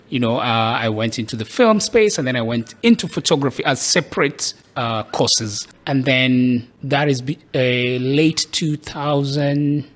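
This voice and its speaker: A man from Kenya, a podcast guest, thoughtfully recounting his life in a casual, reflective way. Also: medium pitch. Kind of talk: casual interview storytelling.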